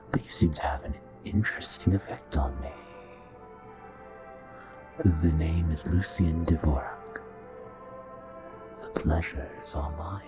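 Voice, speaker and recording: A man playing a charming, possessive, ancient royal vampire in an intimate romantic audio roleplay, speaking in a courtly, seductive, breathy way. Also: a bass voice. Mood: flirtatious, courtly. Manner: courtly, seductive, often breathy half-whisper. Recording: soft voice over background music.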